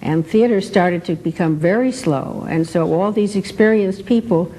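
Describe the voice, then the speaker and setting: An older American woman, a former radio actress, reminiscing in a measured, hesitant way. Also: on the low side, mellow, slight background noise, poor audio. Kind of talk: reminiscing in an interview.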